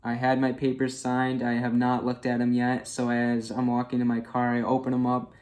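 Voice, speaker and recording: A man's voice: mid-range voice, monotone, normal speed, a little mellow. A twenty-one-year-old man chatting candidly in a casual personal vlog, with many fillers. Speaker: vlogger.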